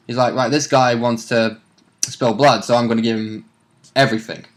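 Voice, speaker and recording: A man's voice: medium pitch, steady tone, moderate pace. A man chatting casually as a player and co-commentator, informal and rambling in his way of talking. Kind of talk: casual chat.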